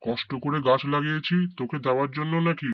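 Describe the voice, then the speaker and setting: A man's voice: on the high side, fairly even intonation, fast, dry recording, close to an everyday voice. Man as a bossy cartoon crow, muffled recording. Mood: threatening, bossy. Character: bullying, greedy male crow, protective of his mate. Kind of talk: children's cartoon voice acting. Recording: dry, dull lo-fi studio recording.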